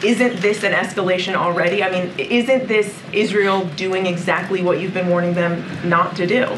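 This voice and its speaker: A woman's voice: on the low side, fairly even intonation, normal speed. Insistent woman reporter, echoey room. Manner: challenging, insistent. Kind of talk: press conference questioning. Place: press briefing room. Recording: echoey room, background noise.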